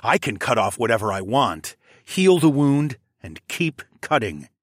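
A man's voice: mid-range voice, sing-song, measured pace, dry recording, clean studio sound. A man playing a commanding warrior who interrogates captives, snarling and hissing threats through gritted teeth in an audiobook. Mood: cold threat.

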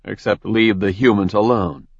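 A man's voice: on the low side, steady tone, normal speed, vocal fry, breathy edge, no echo. A man voicing a wary questioning man. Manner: curious, questioning. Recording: dry, slightly dull audiobook recording.

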